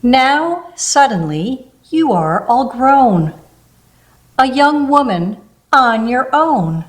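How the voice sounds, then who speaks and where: A woman reads a rhyming children's book aloud, her voice sitting a little high. She takes the verses at a slow, gentle pace. Now and then she adds a soft aside between the pages. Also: big pitch swings. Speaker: storybook reader.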